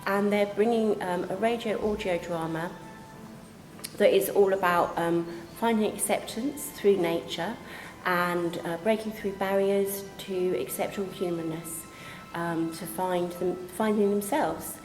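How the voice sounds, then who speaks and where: A woman's voice: lowish voice, darkish tone. A woman on a college's staff speaking earnestly and explaining things in an informal promotional interview. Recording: echoey reverberant room, music behind. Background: background music.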